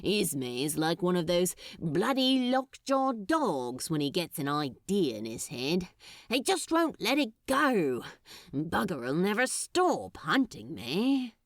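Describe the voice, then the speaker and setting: Lady doing a cocky male demon from a storybook, wry teasing drawl, pitch slides all over, dry sound. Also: big pitch swings, slow pace, no echo, full character voice. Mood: wry, thoughtful.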